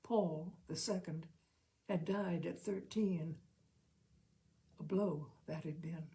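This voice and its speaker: A woman narrating a literary short story expressively, with measured, careful phrasing. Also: lowish voice, steady tone, mellow. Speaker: audiobook reader, storyteller.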